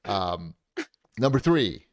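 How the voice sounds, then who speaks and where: A man co-hosting a podcast, talking through his points in a casual, analytical way with a deep voice. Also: big pitch swings, slow pace, dry recording, studio quality. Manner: analytical, with frequent restarts. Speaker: podcast co-host. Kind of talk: casual chat.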